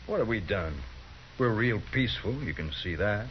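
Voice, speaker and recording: A man's voice: fairly low pitch, lively intonation, normal speed, slight background noise, close to an everyday voice. A man playing a smug, sneering outlaw boss. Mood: mocking. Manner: mocking, smug. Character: sneering, cocky male outlaw gang leader. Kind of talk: 1950s radio drama acting. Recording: lo-fi 1950s radio broadcast.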